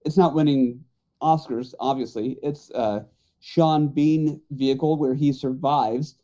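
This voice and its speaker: A man's voice: medium pitch, steady tone, unhurried, dark tone, dull audio. A middle-aged man chatting casually on a podcast panel about movies.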